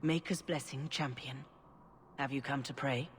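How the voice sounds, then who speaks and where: Grave elderly priestess in a reverberant hall. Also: female voice, very deep voice, steady tone, slight background noise. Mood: solemn. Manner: measured, solemn, formal. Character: elderly, gentle, grave female head cleric. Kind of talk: dramatic game dialogue. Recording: long church-like reverb, music behind.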